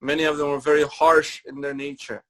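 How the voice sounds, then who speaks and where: A man giving a Ramadan lecture in a mosque, speaking in measured, repeated phrases and reciting Quranic Arabic between his English explanations. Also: fairly high pitch, little pitch movement, a bit bright, low-quality recording. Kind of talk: sermon-style religious lecture.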